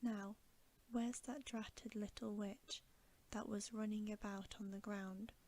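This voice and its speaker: Woman whispering as a slow, good-natured giant. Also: mid-range voice, little pitch movement, a little mellow. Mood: sleepy, puzzled. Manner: homely, rustic, polite. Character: huge, good-natured, deferential male giant. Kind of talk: storybook reading in ASMR.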